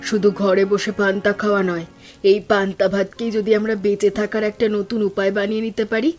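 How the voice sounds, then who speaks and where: A woman voicing a resolute, hopeful poor village mother in an animated cartoon story, earnest and encouraging. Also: medium pitch, fast, faint music behind, close to an everyday voice.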